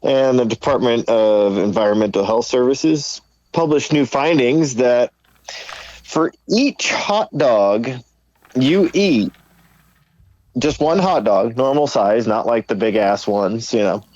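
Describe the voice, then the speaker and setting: Male podcast co-host, casual talker with very lively intonation, slightly muffled lo-fi sound. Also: medium pitch, slow.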